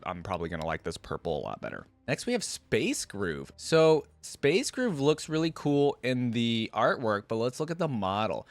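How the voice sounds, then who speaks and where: A man's voice: medium pitch, no echo. A man giving casual, informal reaction commentary as an online host, musing and thinking aloud as he goes.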